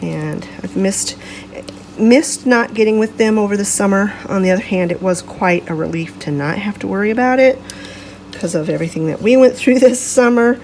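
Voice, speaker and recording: A middle-aged woman hosting a casual home vlog, chatting in a rambling, self-correcting way, her voice congested and gravelly from a cold. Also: mid-range voice, moderate pace, a little mellow, a little hiss or hum, low-quality recording. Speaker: vlog host.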